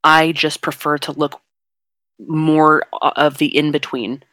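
A young non-binary podcast host with a fairly deep voice, talking frankly and at length in a casual chat. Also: flat delivery, a little slow, pushed and bright, no room sound. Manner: earnest, frank, explains at length.

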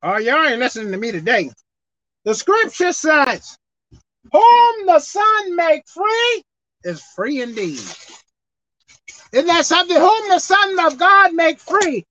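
A woman preacher delivering a sermon with animated, call-and-response delivery, acting out bits of dialogue. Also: extremely high pitch, slow pace, pauses often, dry recording. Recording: dull lo-fi dry sound.

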